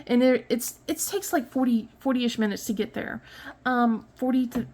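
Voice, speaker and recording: A woman in her thirties talks casually at home, a touch sniffly. Her voice sits fairly high, and she wanders through her thoughts with fillers, restarts and small laughs. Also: fairly even intonation, moderate pace, darkish tone. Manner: rambling, many fillers and restarts, light laughs. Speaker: crafting vlogger. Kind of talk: casual chat while diamond painting.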